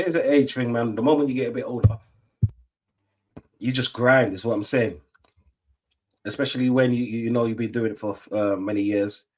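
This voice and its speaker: A middle-aged man, chatting casually on a football watch-along stream, rambling with lots of fillers and restarts. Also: medium pitch. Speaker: stream host.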